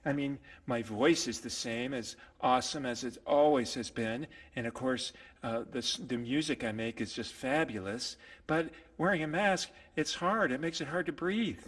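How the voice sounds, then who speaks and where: Man putting on a vain singing puppet, boastful, theatrical, complaining, husky, children's puppet play, echoey hall. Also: medium pitch, moderate pace, hardly any pauses, a bit bright. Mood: boastful complaint. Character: vain, self-admiring singing puppet named Gloria. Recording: echoey hall with long reverb.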